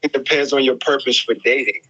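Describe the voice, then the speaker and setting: Measured middle-aged man, muffled audio. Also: medium pitch, steady tone, pushed and bright, husky. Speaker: resident psychologist. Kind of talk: casual advice chat.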